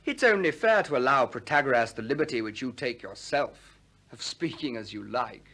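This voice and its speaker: An actor with a British accent playing an eager, courteous ancient Greek gentleman in a 1960s radio play, speaking with polite persuasion. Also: medium pitch, lively intonation, moderate pace. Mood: pleading.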